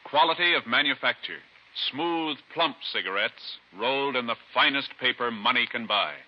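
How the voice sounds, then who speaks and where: A man's voice: medium pitch, normal speed. Muffled vintage male radio announcer. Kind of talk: 1950s radio announcing and ad read.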